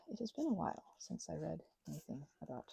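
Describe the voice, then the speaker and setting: Very creaky-voiced woman, rambling casually. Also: sits low, dry recording. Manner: halting, digressive, with gasps and exclamations; gushing, excitable. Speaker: craft and ASMR channel creator. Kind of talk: casual unscripted rambling while crafting.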